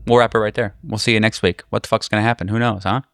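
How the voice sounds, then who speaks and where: A man speaking as game master and host of a tabletop horror game, in a halting, informal way with jokey asides. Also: on the low side, steady tone, gravelly, fairly bright tone, faint music behind. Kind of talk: narrating a tabletop horror game, casual banter.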